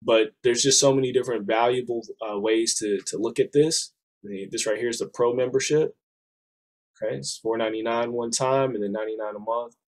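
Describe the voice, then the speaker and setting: A man in his thirties, slightly hoarse, walking his listeners through a funding program on a video call in a casual, persuasive way. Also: medium pitch, steady tone, brisk, rough recording. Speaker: business funding presenter. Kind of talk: screen-share sales walkthrough. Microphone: webcam on a video call.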